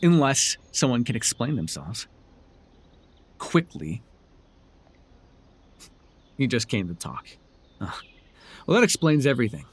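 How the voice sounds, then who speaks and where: Man playing a sardonic, husky-voiced supervillain, theatrical and animated. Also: mid-range voice, unhurried, long pauses. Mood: scornful, probing. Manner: sarcastic, theatrical, taunting. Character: sardonic male telepathic supervillain, menacing yet curious. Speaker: voice actor in audio roleplay. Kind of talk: dramatic ASMR roleplay acting.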